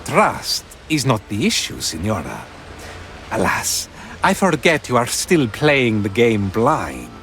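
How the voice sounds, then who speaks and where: Game role: smug gentleman pirate, theatrical and teasing, very slow, hugely animated pitch. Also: slight background noise, exaggerated voice. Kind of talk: video game voice acting.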